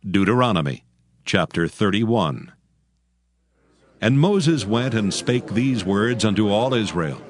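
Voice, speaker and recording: A man narrating scripture from a script in a formal, measured manner. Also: fairly low pitch, big pitch swings. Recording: background music under the voice.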